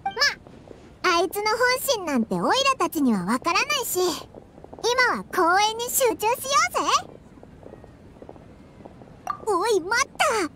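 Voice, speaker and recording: A woman voicing a small, chatty, childlike fairy companion with squeaky, boyish casual speech in a Japanese game dub. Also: sing-song, unhurried, pauses often, background noise, exaggerated voice. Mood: curious, excited.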